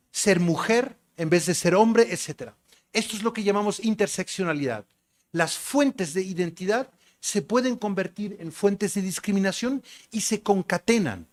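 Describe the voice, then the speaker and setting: A middle-aged man giving a measured, emphatic academic lecture with slides, often repeating lists for emphasis. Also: high voice.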